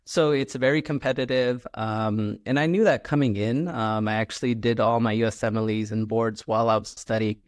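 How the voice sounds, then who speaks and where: A man from Malaysia, a podcast guest, answering questions in a relaxed, halting way with many ums and uhs. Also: on the low side, no echo, clean studio sound. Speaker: guest, clinical scientist. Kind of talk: interview answers.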